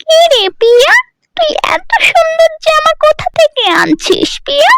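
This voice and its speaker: Squeaky nosy cartoon village girl, really high n shrill, haughty and pushy. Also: sing-song, normal speed, vocal fry, heavy background noise, exaggerated voice. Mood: taunting, suspicious. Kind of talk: children's cartoon fairy-tale voice acting.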